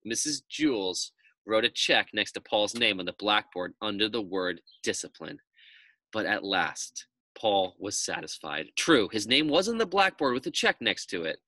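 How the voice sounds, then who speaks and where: A man reads a children's story with very animated pitch. His voice is bright and forceful, recorded dry and close, in an expressive storyteller's delivery. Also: moderate pace, dry recording. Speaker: read-aloud storyteller.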